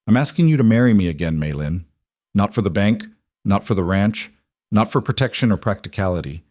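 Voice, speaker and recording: A man voicing a gentle middle-aged rancher who speaks earnestly. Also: lowish voice, expressive, fairly quick, dry recording, studio quality, close to an everyday voice. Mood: tender.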